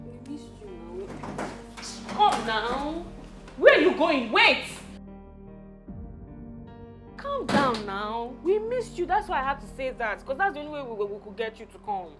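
A woman's voice: high-pitched, very animated, moderate pace, music in the background. A woman with a Nigerian accent playing a jealous, combative scorned wife in a film drama, repeating her demands. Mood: coaxing.

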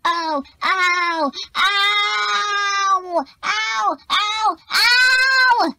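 A woman voicing a boastful, excitable young male creature in a children's storybook, loud, showy and bossy. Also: very high voice, very slow, vocal fry, no room sound, voice pushed up, exaggerated voice. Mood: eager.

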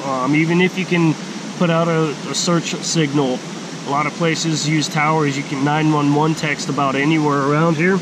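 Unhurried man vlogging in the woods. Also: a little high. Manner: unhurried, plain-spoken, halting. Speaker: hiking vlogger. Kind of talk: casual gear show-and-tell. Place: outdoors in a forest by a stream. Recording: steady outdoor background hiss.

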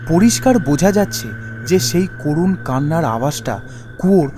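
A man narrating a scripted ghost story in a dramatic, deliberate way. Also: a little high, lively intonation, background noise. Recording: music behind the voice.

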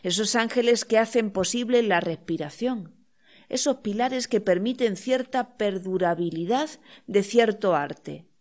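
A woman reading a literary audiobook of verse, pausing deliberately between short phrases. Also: mid-range voice, darkish tone. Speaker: audiobook reader.

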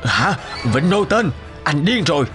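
An actor voicing a worried, cautious courier in a cartoon, alarmed and exclamatory. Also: male voice, on the high side, sing-song, unhurried, a bit bright, soft background music. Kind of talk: animated cartoon dubbing.

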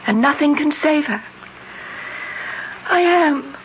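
High-voiced, frail dying young woman. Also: lively intonation, slow. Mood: weary, hopeless. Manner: weak, drained, pleading. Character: frail, despairing young woman, wasting away. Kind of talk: 1970s radio drama acting. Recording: muffled, noisy 1970s radio broadcast recording.

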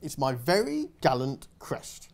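A man's voice: medium pitch, wildly swooping pitch, measured pace. A man voicing a cheerful, clueless male knight with plummy, upper-class speech.

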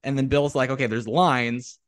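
A man's voice: mid-range voice, fairly even intonation, moderate pace. A man hosting a podcast, asking long, winding questions in an eager, casual way. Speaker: podcast host. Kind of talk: casual interview chat.

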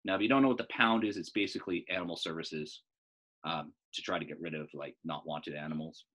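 A man in his thirties reading a children's book aloud as a storyteller, in an animated style. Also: extremely low pitch, quick pace, breathy edge.

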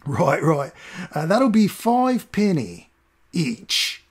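A man's voice: very animated, extremely slow, breathy edge, heavily acted. A sniveling doorman. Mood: smug. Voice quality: thick put-on accent, whiny. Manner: drawling, smug, squeaks when scared. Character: sniveling smug male doorman, petty gatekeeper.

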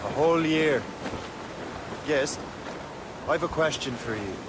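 An earnest young sea captain in a game story. He speaks directly and with dry humor in a slightly high male voice, with waves and ship noise clearly behind. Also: lively intonation, slow pace. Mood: questioning. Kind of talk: acted game cutscene dialogue.

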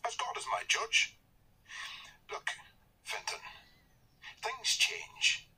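An actor with an Irish accent in a radio drama, playing a bluff, ingratiating, folksy local politician and farmer. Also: male voice, drawn-out words, bright, forceful tone, heavily acted, rough recording. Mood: protesting, persuasive.

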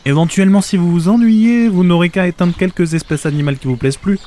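A man's voice: high voice, fast, warm tone, lightly acted. An actor playing a sarcastic, overwhelmed everyman ark builder in a comedic audio drama, haggling in hesitant, colloquial speech. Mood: grumbling.

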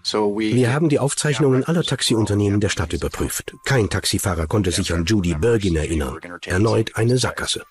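A man's voice: lowish voice, expressive, fairly quick, lightly acted. A man dubbing a factual, sober police investigator in a documentary.

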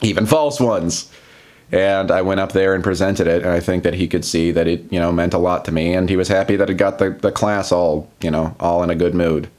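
Low-voiced American man, rambling and self-deprecating. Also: steady tone, a little fast, darkish tone. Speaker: vlogger. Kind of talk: casual confessional monologue to camera. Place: small plain room indoors.

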